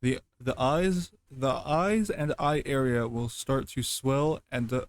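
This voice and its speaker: A man reads aloud and reacts in an offhand, casual way. His pace is slow and his pitch sits in the middle. He stumbles over words and often doubles back to repeat them. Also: a little hiss or hum. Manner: halting, stumbling, repeats himself. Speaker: reaction video host.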